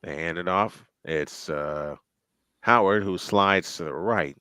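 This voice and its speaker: A man calls a football game live with a bright, crisp voice. He chats and thinks aloud between plays. The sound is dry and close to the mic. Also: sits low, takes it slowly, dry recording. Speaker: play-by-play commentator.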